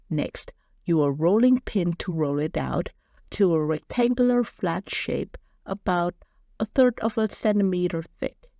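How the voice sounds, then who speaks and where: A woman's voice: medium pitch, mellow, no room sound, clean studio sound. A woman with a non-native English accent narrating a home cooking recipe as a voice-over, cheerful and playful. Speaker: cooking channel host.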